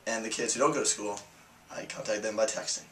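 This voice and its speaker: An eighteen-year-old man, a college freshman, answering interview questions in a relaxed, hesitant way. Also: fairly low pitch, fast, a little hiss or hum. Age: teens.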